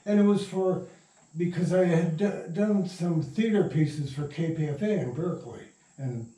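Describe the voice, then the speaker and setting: Older male interviewee, soft mellow tone, slightly high pitch, loose rambling storyteller. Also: normal speed. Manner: rambling, circling back mid-sentence. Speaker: interview guest. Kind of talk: casual anecdotal storytelling. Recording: echoey room, distant mic.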